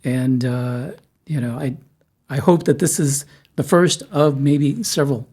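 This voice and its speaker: A middle-aged man from South Texas, hosting a casual conversation, halting and repeating words, with a joking manner. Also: mid-range voice, a little mellow, slightly rough. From South Texas, USA. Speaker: host.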